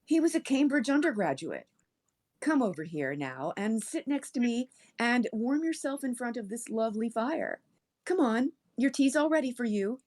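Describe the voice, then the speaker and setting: A woman's voice: a little high, animated, moderate pace, a little mellow. Woman acting a gushing, eerily sweet storybook landlady. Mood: fussy, sweetly insistent. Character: sweet, doting, eerily cozy middle-aged landlady.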